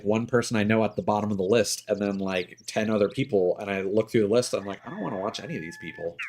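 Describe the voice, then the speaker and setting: A man's voice: fairly low pitch, heavy background noise. A man livestreaming a game and chatting casually, with a rambling, sardonic, opinionated manner. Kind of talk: casual opinionated stream chat.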